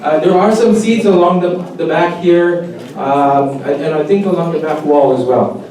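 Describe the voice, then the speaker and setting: A man makes informal, somewhat hesitant announcements as event moderator. His slightly high voice rings heavily around the room, heard at a distance through clear noise. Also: normal speed, darkish tone. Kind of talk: informal announcing to a live audience. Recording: very echoey hall, distant, noisy.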